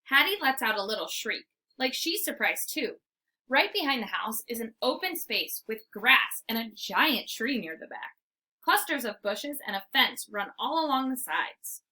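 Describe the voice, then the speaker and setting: A woman reading a children's storybook aloud in an expressive, theatrical way. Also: fairly high pitch, moderate pace. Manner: animated, theatrical. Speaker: storybook reader. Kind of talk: expressive read-aloud narration.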